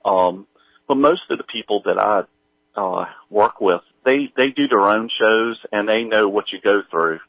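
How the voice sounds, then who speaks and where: Middle-aged man, interview guest, hesitant and conversational, lower register, band-limited recording. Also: no room sound, phone-quality sound. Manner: halting, hesitant delivery full of pauses. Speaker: guest, audio drama creator. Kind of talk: casual interview chat.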